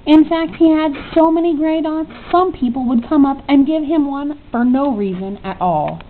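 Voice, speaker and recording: A woman's voice: high voice, normal speed. A woman reading a children's story aloud as a narrator. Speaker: storybook reader. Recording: tinny narrow-band audio, faint noise.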